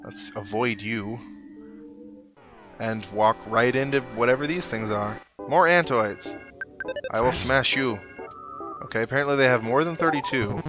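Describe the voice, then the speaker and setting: A man's voice: mid-range voice, unhurried, long pauses. A man giving casual let's play commentary, musing aloud and reading out on-screen text as he goes. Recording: game music behind the voice. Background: video game music.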